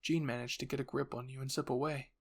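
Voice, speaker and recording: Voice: mid-range voice, fairly even intonation, fast. A narrator and voice performer reading scripted anime fan fiction.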